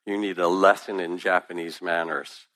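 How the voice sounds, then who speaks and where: A man voicing a big, drunk, belligerent laborer who roars rough threats. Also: sits low, fairly even intonation, moderate pace, a bit bright. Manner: roaring, rough and hostile.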